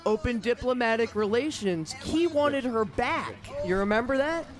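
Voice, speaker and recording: A woman's voice: fairly high pitch, lively intonation, normal speed. A woman, hosting a podcast and explaining at length, recorded outdoors with a crowd behind her. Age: 30s. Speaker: podcast host. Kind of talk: casual outdoor interview chat. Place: outdoors on a state house lawn.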